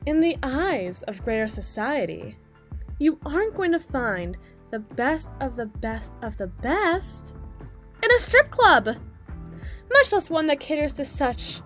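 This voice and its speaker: Woman acting as sardonic demon club DJ, high voice, very animated intonation, crass, defensive, exasperated, audio roleplay drama. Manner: sarcastic, exasperated, biting, foul-mouthed. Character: snarky, crass, fast-talking female demon club DJ, bitter and defensive.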